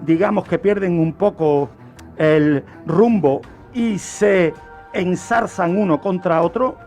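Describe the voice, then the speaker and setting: A Spanish man with a distinctly high-pitched voice presents a crime case on the radio. He explains things like a teacher, part scripted and part off the cuff, with questions for the listeners. Music plays faintly underneath. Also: slow pace, a little mellow. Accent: Spanish, from Spain. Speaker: true-crime segment presenter on a radio show.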